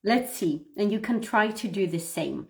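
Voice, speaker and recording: Expressive woman teaching English live. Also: mid-range voice, normal speed, gravelly. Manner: instructive, expressive, emphatic, often checking understanding. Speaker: English pronunciation teacher, livestream host. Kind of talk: live lesson talking to viewers, demonstrating read-aloud.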